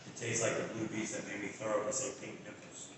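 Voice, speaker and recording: A man's voice: on the low side, little pitch movement, quick pace, creaky. A man co-hosting a casual podcast, bantering and joking in a laid-back way. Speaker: podcast co-host. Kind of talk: casual chat. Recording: echoey room from far off with noise.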